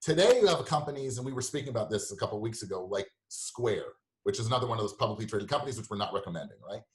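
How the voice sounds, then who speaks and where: A man's voice: on the low side, animated, rapid-fire, roomy sound. A man hosting a show and interviewing a guest in a conversational, informal, slightly rambling way.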